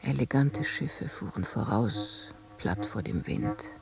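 An actress playing a possessive, mocking princess in a literary radio play, with a theatrical manner. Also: female voice, extremely low pitch, slow, creaky, music in the background, heavily acted. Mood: hushed, dreamy. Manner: theatrical, swooping between scorn and hushed musing. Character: possessive, mocking princess, wife of a fallen nobleman.

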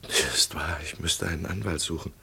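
Casting: anguished doctor under suspicion, very deep husky voice, halting and heavy, vintage radio drama. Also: male voice, bright, forceful tone, full character voice. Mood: defeated, resigned. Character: despairing doctor expecting arrest for poisoning his wife. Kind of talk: 1950s German radio drama acting.

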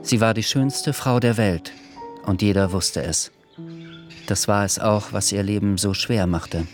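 A male narrator with a fairly low voice reads literary prose for a radio drama. His storytelling is measured and scripted. Music is clearly audible behind him.

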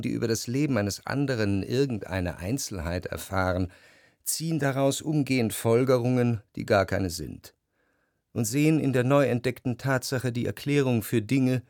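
An actor playing a reflective, melancholic man narrating his memories in a literary radio drama, measured and introspective. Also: mid-range voice, creaky, darkish tone, no echo, studio quality. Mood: musing. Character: reflective, melancholic male narrator recalling his past life.

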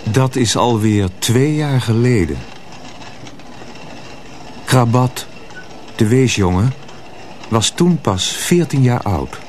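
A man narrating a radio drama in a measured, scripted storytelling manner. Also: mid-range voice, lively intonation, a little mellow, slight background noise.

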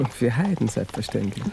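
An actor playing a courteous, evasive violinist lover in a 1950s radio drama, speaking. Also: male voice, medium pitch, sing-song, slow pace, gravelly, warm tone, slight background noise, heavily acted. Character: evasive male violinist lover, polite but emotionally detached. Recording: 1950s radio recording with music behind.

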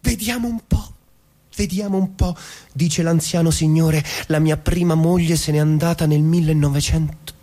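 An actor playing a philosophical, declamatory narrator in theatrical scripted narration, with a husky voice. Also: male voice, on the high side, steady tone, moderate pace, mellow, close to an everyday voice. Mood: declamatory, excited. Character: philosophical, declamatory narrator musing on time and memory.

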